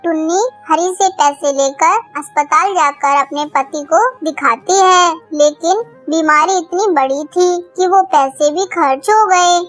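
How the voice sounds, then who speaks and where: A woman's voice: very high-pitched, measured pace, hardly any pauses, a bit bright, soft background music. A woman narrator reading a scripted children's story.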